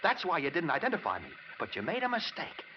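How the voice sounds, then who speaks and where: An actor playing a cold, menacing American murderer with a hard, threatening delivery in a 1940s radio drama. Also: male voice, on the high side, huge pitch swings, quick pace. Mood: gloating, cold. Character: cold, menacing wife-killer holding a gun. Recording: noisy, muffled vintage radio recording.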